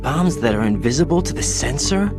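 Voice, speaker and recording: A man's voice: mid-range voice, lively intonation, fairly quick, close to an everyday voice. An actor playing a young male special-forces operative in a video game cutscene, earnest with clipped reports. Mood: earnest, alert. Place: recording studio. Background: game score music.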